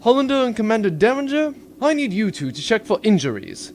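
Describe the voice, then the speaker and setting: Man playing a lively, flippant starship captain. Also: high voice, fairly quick, a little hiss or hum, voice pushed up. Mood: brisk, commanding. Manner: flippant, conversational, hesitant at times. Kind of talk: comic audio drama acting.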